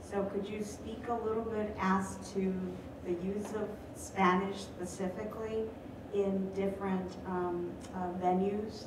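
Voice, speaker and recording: A woman's voice: mid-range voice, flat delivery, a little slow, noisy background. A woman professor of Spanish asking a question from the audience, hesitant with many ums. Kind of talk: audience question at live event. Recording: echoey hall, far from mic.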